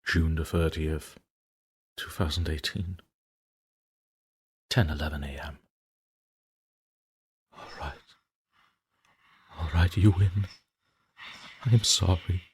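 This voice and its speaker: A man acting a haunted, raspy stammering wreck. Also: very deep voice, fairly even intonation, takes it slowly, long pauses, exaggerated voice. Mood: pleading, panicked. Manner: trembling, pleading, stammering. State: exhausted. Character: haunted guilt-ridden young man, unraveling. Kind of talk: horror story narration, journal reading.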